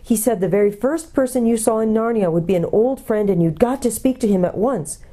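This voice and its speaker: A woman's voice: a little high, brisk, warm tone, pitched above the performer's own voice, close to an everyday voice. A woman voicing an urgent, bossy young girl who pleads insistently and gets exasperated. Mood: despairing.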